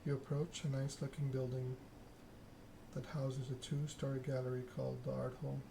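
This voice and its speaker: Even-toned male streamer over clear background noise. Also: medium pitch, moderate pace. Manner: reads narrative text aloud, chats with viewers. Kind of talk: soft ASMR game narration and casual chat with viewers.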